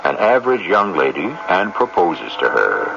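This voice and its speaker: A man's voice: low voice, big pitch swings, normal speed, creaky, sharp tone, a little hiss or hum. A man hosting and narrating a radio drama in a wry, theatrical, rhetorical manner. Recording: dull old radio recording with music. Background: music.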